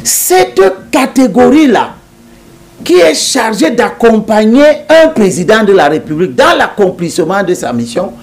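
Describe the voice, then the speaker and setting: A man's voice: high voice, very animated, unhurried, creaky. A man, a politician, talking as a guest in a TV interview in an emphatic, lecturing way, repeating points to drive them home.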